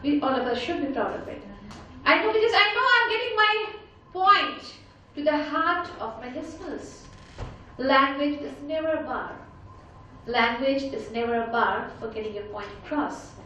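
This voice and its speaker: High-voiced Indian-accented woman, a motivational speaker firing rhetorical questions, captured at a distance in a booming hall with faint noise. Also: normal speed, bright, forceful tone. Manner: emphatic, full of rhetorical questions. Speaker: motivational speaker and life coach. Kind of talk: motivational talk to a live audience. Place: large conference hall with an audience. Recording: very echoey hall, voice picked up from afar.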